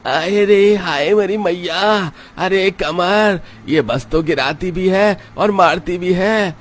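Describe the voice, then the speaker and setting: A man voicing an excitable, easily startled simple villager in a cartoon, with rustic exclamations. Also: high voice, takes it slowly, pitched above the performer's own voice. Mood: wailing.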